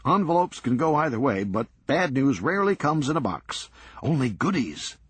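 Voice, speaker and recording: Guy doing a hyper excitable dude, higher pitched, husky, all over the place. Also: no room sound. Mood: giddy. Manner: rapid, giddy, swinging wildly between moods. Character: manic excitable grown man, obsessive collector.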